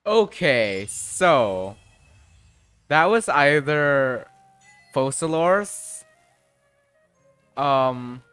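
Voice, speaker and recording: A man's voice: mid-range voice, animated, extremely slow, long pauses, a bit bright, clean tone, no room sound, faint music behind. A man livestreaming a game with casual, blunt, sweary, laid-back commentary.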